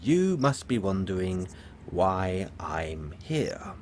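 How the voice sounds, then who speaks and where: A man's voice: low voice, big pitch swings, takes it slowly, darkish tone, a little hiss or hum, heavily acted. A man playing a flamboyant, smug, vain villain in an audio roleplay, speaking in a theatrical, pompous and grandiloquent way to the listener. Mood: grand, smug wooing.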